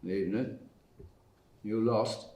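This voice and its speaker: A man reading a steady old widowed farmer. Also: lowish voice, takes it slowly. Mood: defiant. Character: widowed older male farmer, calm and protective. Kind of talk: live script table read. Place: theatre stage. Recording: echoey hall from far off.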